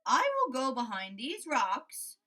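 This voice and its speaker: A woman's voice: high voice, big pitch swings, slow pace, bright, forceful tone, exaggerated voice. A woman voicing a self-conscious, fussy male toad in a children's storybook read-aloud, speaking emphatically and insistently.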